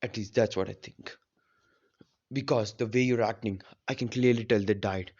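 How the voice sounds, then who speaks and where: A smug old ninja ghost, as a man plays him, speaks in a slightly lowered, measured voice. The sound is dull and lo-fi. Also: fairly even intonation, darkish tone.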